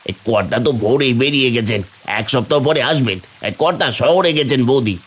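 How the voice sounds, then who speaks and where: A man's voice: mid-range voice, animated, fairly quick, slightly rough. A man voicing a humble, sympathetic village servant in a cartoon, speaking earnestly and deferentially. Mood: concerned. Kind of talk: Bengali fairy-tale cartoon voice acting.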